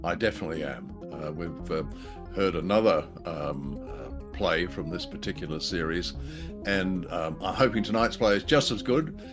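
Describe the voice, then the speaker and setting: A man co-hosting a show with casual, halting commentary. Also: sits low, music in the background. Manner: hesitant, halting. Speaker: show co-host.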